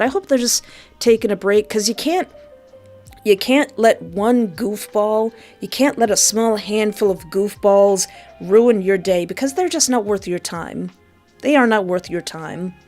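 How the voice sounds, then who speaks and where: A woman livestreamer chatting casually and effusively with her viewers, reacting aloud as she goes. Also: medium pitch. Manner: effusive, informal, reacting aloud to chat messages. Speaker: livestream host and game developer. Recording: soft music behind the voice. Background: background music.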